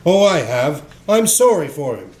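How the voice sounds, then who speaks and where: A man playing a genial, forgiving young Victorian gentleman in a radio play, in a light, good-humoured manner. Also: on the high side, big pitch swings, a little slow, reverberant. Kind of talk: theatrical radio play acting.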